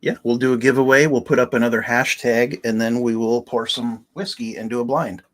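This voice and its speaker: A man's voice: medium pitch, steady tone, a little fast. A man with a mid-range voice talks casually on a livestream. He explains things in a plain, matter-of-fact way and slips in dry teasing jokes. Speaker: livestream co-host.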